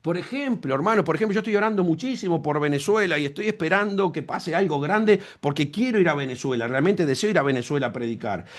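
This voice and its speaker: A man's voice: on the high side, animated, fast, few pauses. A man preaching a sermon as pastor, emphatic, repeating phrases and asking rhetorical questions.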